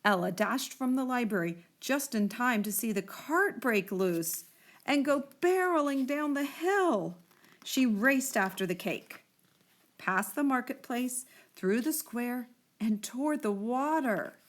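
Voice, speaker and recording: A woman reading a picture book aloud to children in an expressive storytelling style. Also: a little high, slow pace.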